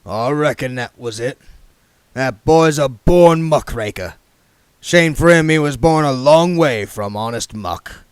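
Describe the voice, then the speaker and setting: A man voicing a gruff, shrewd, wealthy businessman who speaks bluntly with a menacing, folksy edge. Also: mid-range voice, expressive, a little slow, dry recording, voice pushed up, natural voice.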